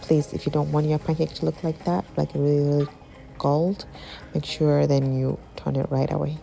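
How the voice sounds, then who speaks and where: A young woman with a slightly husky voice, chatting casually to viewers while cooking in her home kitchen. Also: low-pitched, little pitch movement, moderate pace, warm tone, faint music behind. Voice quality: husky, sounds slightly sick. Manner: chatty, giggly, talks to viewers as guys. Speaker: cooking channel host. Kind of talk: casual cooking vlog voice-over.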